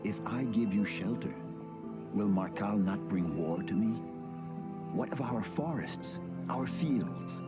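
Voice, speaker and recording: An actor playing a grave male elf king weighed down by guilt, speaking in a solemn, measured, commanding way. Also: fairly low pitch, loud music behind the voice. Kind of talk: video game cutscene acting.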